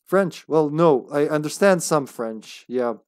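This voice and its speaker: A man in his thirties with a Serbian accent, joking and laughing through casual live gameplay commentary. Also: on the high side. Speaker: streamer.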